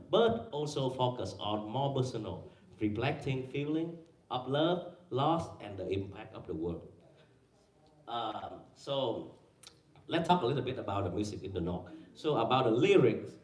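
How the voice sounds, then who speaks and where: Male panel presenter, mid-pitched and halting, heavy fillers, quizzes the room, recorded from a distance. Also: darkish tone. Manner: halting, many fillers and restarts, quizzes the audience. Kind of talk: academic presentation with slides. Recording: echoey room, mic far away.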